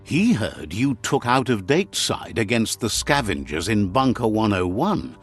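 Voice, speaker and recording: A man doing scripted narration for a video game in a measured storytelling manner. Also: on the low side, sing-song, husky. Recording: game audio over music. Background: faint game music.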